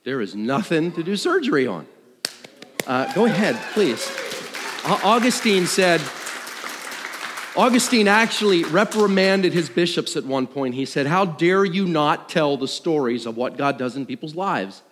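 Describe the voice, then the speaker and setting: A man tells a personal testimony to a congregation in a conversational, self-deprecating way, acting out dialogue and thoughts like an animated storyteller. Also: fairly high pitch, a little slow, a crowd in the background, background noise. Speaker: worship leader. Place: large room, speaking to an audience. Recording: live hall with long reverb.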